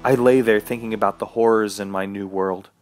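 A man's voice: on the low side, steady tone, brisk, natural voice. A man playing a desperate, dying man trapped in the woods, narrating his ordeal intensely in a horror radio play. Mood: weary, resigned. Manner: intense, swinging from weary musing to yelling. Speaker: lead actor and first-person narrator. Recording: music behind the voice.

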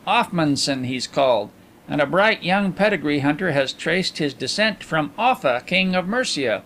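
A man's voice: a little high, animated, normal speed, a bit bright, pitched above the performer's own voice. A man voicing a genial, shrewd middle-aged male coin dealer who chats in an easygoing, knowing way. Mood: chatty.

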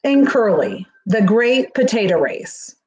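A middle-aged woman reading a children's picture book aloud in an expressive storytelling manner. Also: mid-range voice, slow pace, rough recording. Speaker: school staff reading aloud. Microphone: webcam on a video call, near.

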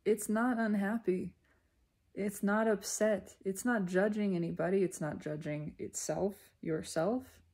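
A woman giving a reflective spiritual monologue in a deliberate manner, pausing mid-sentence and speaking in short phrases. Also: medium pitch, fairly even intonation, a little mellow, husky. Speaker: spiritual self-reflection vlogger.

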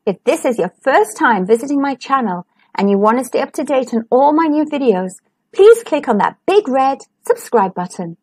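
A woman's voice: high voice, big pitch swings, normal speed, a little mellow, husky. A woman hosting a storytime, reading a children's picture book aloud. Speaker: storytime host and narrator.

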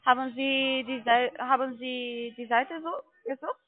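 Female phone sales agent, non-native speaker, higher voice, persuasive but halting, tinny telephone sound. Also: steady tone, dry recording. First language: not German. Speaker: call centre sales agent. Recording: tinny narrow phone line.

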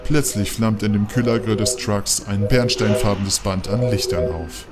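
A man's voice: fairly low pitch, steady tone, normal speed, a loud crowd. A man narrating an audio drama from a script.

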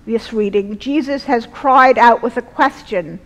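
A middle-aged woman preaching a sermon from a lectern in a large church, speaking earnestly in slow, deliberate phrases. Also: fairly high pitch, fairly bright tone. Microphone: lectern mic, far camera. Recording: long church reverb.

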